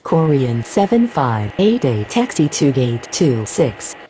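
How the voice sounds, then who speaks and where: A woman's voice: rumbling low, big pitch swings, takes it slowly, heavily acted. A woman playing a formal pilot and controller in a game, using clipped radio phraseology. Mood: businesslike. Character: formal female airline pilot and air traffic controller. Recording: loud hiss and vehicle noise.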